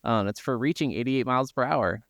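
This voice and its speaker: A man's voice: medium pitch, a little fast, a bit bright, no echo, studio quality. A man co-hosting a podcast, chatting casually about games with some offhand swearing. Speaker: podcast co-host. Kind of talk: casual gaming podcast chat.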